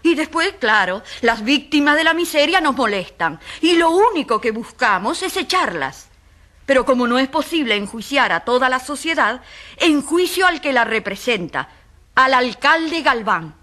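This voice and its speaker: A woman's voice: sits high, sing-song, normal speed, sharp tone, heavily acted. An actress in a radio drama playing a passionate, indignant woman who defends the poor, speaking emphatically in repeated, building lists. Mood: indignant, impassioned.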